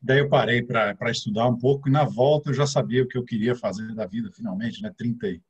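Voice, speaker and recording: A man's voice: medium pitch, fast talker, breathy edge, dull audio. A middle-aged man with a São Paulo interior Brazilian accent, a guest financial advisor explaining things step by step, with many filler sounds. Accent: São Paulo interior Brazilian Portuguese. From interior of São Paulo state, Brazil.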